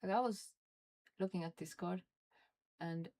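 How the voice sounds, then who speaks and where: A young Norwegian woman streaming live, chatting casually and muttering and swearing at her software as she struggles with it. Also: lowish voice, unhurried, a little hiss or hum. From Norway. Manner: frustrated, swearing, muttering under her breath. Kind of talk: casual livestream chat while fiddling with software.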